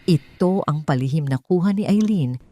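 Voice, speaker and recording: A woman storyteller with a fairly low voice and a soft, dark, mellow tone. She reads scripted drama as an audiobook narrator, with measured and formal phrasing. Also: expressive.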